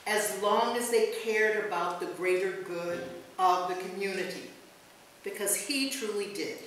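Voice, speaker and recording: A woman's voice: medium pitch, a little slow, a bit bright. A woman pastor reading a written memorial tribute aloud at a church service, recorded from a distance. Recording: very echoey church, distant mic, faint noise.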